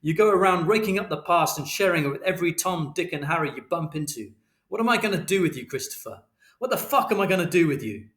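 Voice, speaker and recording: A man voicing a furious middle-aged father who shouts and swears, recorded with a roomy echo. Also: on the high side, lively intonation, fast, voice pushed up.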